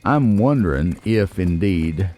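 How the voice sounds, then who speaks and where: A man's voice: sits low, animated, slow pace, darkish tone, soft background music. A man giving casual let's play commentary, musing and thinking aloud as he goes. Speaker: let's player.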